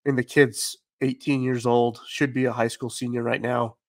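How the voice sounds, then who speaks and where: Even-toned young man talking sports. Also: medium pitch, normal speed, no echo, studio quality. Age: young adult. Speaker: sports podcast panelist. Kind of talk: casual sports analysis. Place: indoors at home. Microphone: close podcast mic.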